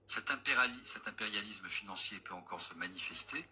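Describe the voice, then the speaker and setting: Very deep man, bright-edged, interjecting. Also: brisk, slight background noise. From France.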